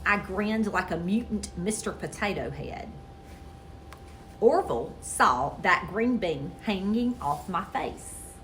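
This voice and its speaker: A woman reading a children's story aloud in an expressive, theatrical storytelling manner. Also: medium pitch, takes it slowly, a little hiss or hum. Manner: expressive, theatrical with character voices. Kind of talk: animated dramatic read-aloud.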